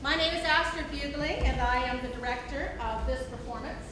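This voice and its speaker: A high-voiced woman speaks as a theatre director, welcoming an audience informally. Her voice echoes heavily through the room and is picked up from a distance, with clear noise behind it. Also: brisk. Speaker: theatre director introducing a performance. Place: indoor theatre, distant microphone. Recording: echoey theatre from far off, noisy.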